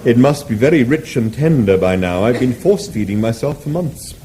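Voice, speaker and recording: A man voicing a courteous male cow-like creature with a low, mellow lowing voice and an unctuous, polite waiter manner. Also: expressive, brisk, background noise, close to an everyday voice.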